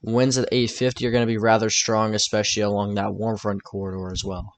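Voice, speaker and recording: Low-voiced man giving casual weather commentary. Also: level tone, fairly quick. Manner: casual, tentative, thinking aloud. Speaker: weather forecaster presenting his own video. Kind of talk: informal weather forecast commentary over maps.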